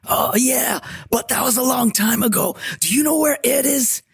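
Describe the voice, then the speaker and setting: A man's voice: very high-pitched, normal speed, creaky, slightly rough, no echo, clean studio sound, pitched above the performer's own voice, full character voice. A man playing a slick, eager talent agent in his forties by looks, with an effusive, salesy manner in an audio story. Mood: keen, probing. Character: slick, eager talent agent, ageless seventy-something looking mid-forties.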